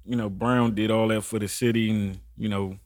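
Low-voiced man, close and dry. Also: level tone, moderate pace, dry recording. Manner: slangy, informal. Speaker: recurring podcast guest. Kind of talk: casual chat.

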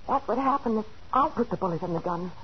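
An actress playing a frightened, scheming wife who narrates anxiously in the dramatic style of a 1940s radio thriller. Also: female voice, medium pitch, expressive, fast talker, a little hiss or hum, close to an everyday voice. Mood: tense, brooding. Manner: anxious, dramatic first-person delivery. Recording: muffled old 1940s radio recording, organ music.

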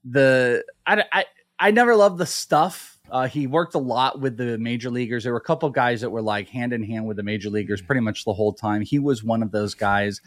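A man's voice: medium pitch, no echo, studio quality. A man co-hosting a sports podcast, chatting casually, teasing his partner and reeling off stats. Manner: teasing, quick, stat-heavy analysis. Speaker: podcast co-host.

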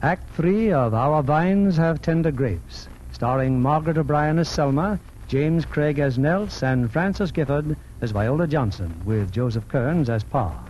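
A man's voice: a little high, lively intonation, measured pace, darkish tone, slight background noise, low-quality recording. A man hosting and narrating a scripted 1940s radio show with formal, theatrical announcer delivery. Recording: old 1940s radio recording.

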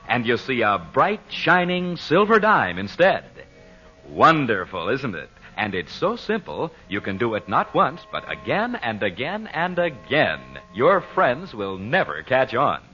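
A male radio announcer from the 1940s with a bright, forceful voice and animated pitch. He sounds polished and salesmanlike, on a dull old broadcast recording.

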